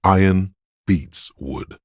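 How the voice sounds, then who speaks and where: A man voicing a grim, goading inner voice of pain and endurance in a video game, narrating with slow, weighty, intense delivery and a husky, gravelly tone. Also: low-pitched, no room sound, clean studio sound. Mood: grim, brooding.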